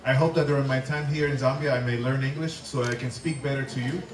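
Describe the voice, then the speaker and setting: A man working as an interpreter, rendering a speech into English with a brisk, plain delivery. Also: mid-range voice, fairly even intonation, noisy background. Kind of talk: consecutive interpreting. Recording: echoey room from far off.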